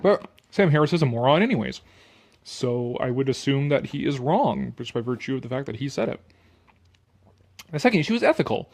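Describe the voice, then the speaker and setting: A man's voice: mid-range voice, big pitch swings, a little fast, creaky, pauses often, a little mellow. A man reading an article aloud with casual, sarcastic commentary, blunt and dismissive, prone to swearing. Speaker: online commentator.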